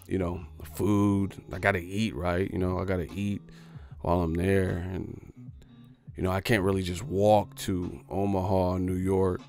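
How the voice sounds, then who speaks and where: A man ranting on a livestream in a boastful, profane, streetwise way. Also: sits low. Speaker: boxing YouTuber. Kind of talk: livestream rant.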